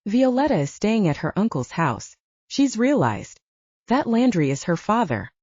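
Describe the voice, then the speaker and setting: A woman's voice: medium pitch, big pitch swings, measured pace, a little mellow, breathy edge, no room sound. A woman reading the lines of a blunt, mocking male werewolf friend in an audiobook. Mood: teasing. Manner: mocking, frank. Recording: dull dry lo-fi audio.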